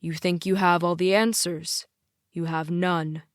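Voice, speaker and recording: A woman's voice: lowish voice, steady tone, unhurried, no room sound, natural voice. A stern father from an audiobook, acted out by a woman, controlling and commanding. The words come clipped and tightly held, with authority, in a very dry recording. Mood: cold, warning. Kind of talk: audiobook character dialogue.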